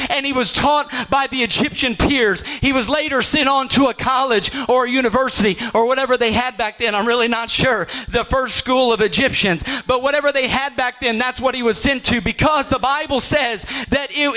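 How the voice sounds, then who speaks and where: A male pastor preaching a sermon, rising into shouts and half-sung phrases and acting out quoted voices. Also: very high-pitched.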